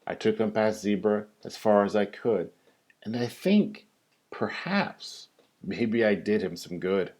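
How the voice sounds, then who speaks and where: A man reading a children's rhyming picture book aloud like a teacher, with a theatrical, singsong delivery and drawn-out emphasis. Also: fairly low pitch, expressive, a little mellow.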